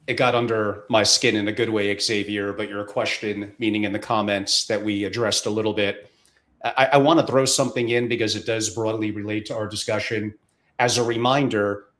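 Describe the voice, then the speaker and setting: A man's voice: medium pitch, fairly bright tone. Man hosting a discussion. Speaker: host. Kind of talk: casual discussion.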